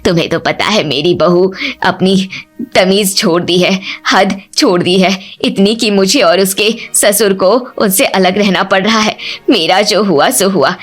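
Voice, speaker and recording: A woman's voice: fairly low pitch, natural voice. A woman voicing an opinionated, complaining older mother-in-law in a cartoon family story. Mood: grumbling. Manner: grumbling, opinionated.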